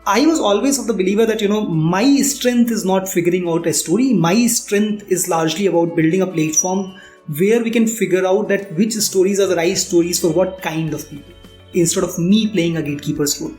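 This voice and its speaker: A man's voice: sits high, brisk, darkish tone. Indian man explaining in a roomy space. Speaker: startup founder interviewed as guest. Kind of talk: conversational interview answers. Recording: roomy echo, faint background music.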